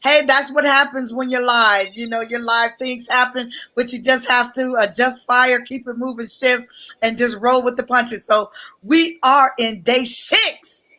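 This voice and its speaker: A woman with a high, bright voice that has gone a little hoarse. She talks with lively excitement on a live stream, greeting viewers one after another and reading out their comments. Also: unhurried. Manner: energetic, repeats greetings, reads out viewer comments. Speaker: guest business coach. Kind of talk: live-stream promotional talk.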